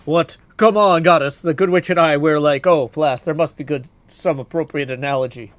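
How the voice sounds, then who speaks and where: A man's voice: on the high side, normal speed, no echo, natural voice. A man voicing a hearty, seasoned hero's shade in a video game, chatty and old-fashioned in his phrasing. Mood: flustered, protesting.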